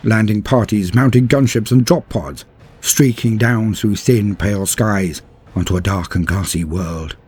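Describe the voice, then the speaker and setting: Husky low-voiced man narrating dramatically. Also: darkish tone. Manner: measured, theatrical, emphatic delivery with drawn-out words. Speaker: lore narrator. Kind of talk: dramatic scripted narration of war fantasy lore. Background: music.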